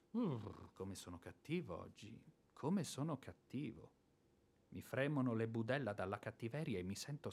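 An actor playing a blustering, hot-tempered landowner and former officer in a radio drama, emphatic, sarcastic and grumbling. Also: male voice, medium pitch, creaky. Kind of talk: theatrical radio drama acting.

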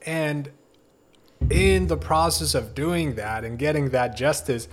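A man's voice: a little high, slow pace, slight background noise. A man co-hosting a casual chat, joking and self-deprecating. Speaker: podcast co-host.

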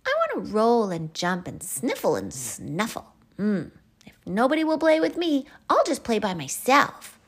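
Woman voicing a playful, high-pitched little boy hippo. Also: a little slow, husky, exaggerated voice. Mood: whiny, stubborn. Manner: excitable, bouncy, childlike. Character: playful eager little boy hippo who resists bedtime. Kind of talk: children's bedtime storybook character.

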